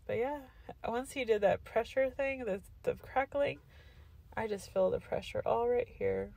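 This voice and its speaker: A woman's voice: fairly high pitch, a little slow, gravelly, a little mellow. A young woman talking into her phone in a parked car, nasal and congested after sinus surgery, loopy and drowsy from medication. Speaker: vlogger. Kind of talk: casual recovery vlog. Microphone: phone at arm's length.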